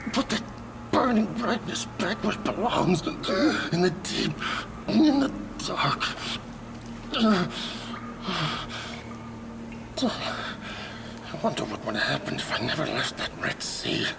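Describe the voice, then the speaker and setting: Haunted sailor, game monologue: very creaky and gravelly, widely swinging pitch, guilt-ridden and brooding, noisy ambience behind. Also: male voice, heavily acted. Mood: anguished, pleading. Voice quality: gravelly, breathy rasp. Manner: halting, haunted, brooding storytelling. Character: haunted, guilt-ridden man, a seafaring storyteller. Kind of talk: dramatic game cutscene monologue. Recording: heavy background ambience noise.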